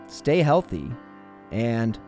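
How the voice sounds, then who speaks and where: A man's voice: mid-range voice, big pitch swings, very slow, a little mellow, faint music behind. A man hosting a podcast, talking in a conversational interviewing style. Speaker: podcast host. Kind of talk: conversational interview and show sign-off.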